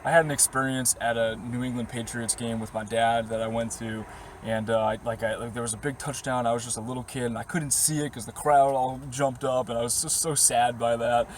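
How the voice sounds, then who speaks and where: Young man, slightly hoarse, rambling casual chat, middle pitch, faint background noise. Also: level tone, a little fast. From Boston area. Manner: rambling, easygoing, with short laughs and many fillers. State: slightly hoarse, losing his voice. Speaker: vlogger answering viewer questions. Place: inside his parked camper van.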